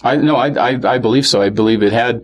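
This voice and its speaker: A man being interviewed, recalling childhood experiences candidly, halting with many fillers and restarts. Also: mid-range voice. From grew up bilingual in a Norwegian family. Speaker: interview guest. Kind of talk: candid personal recollection.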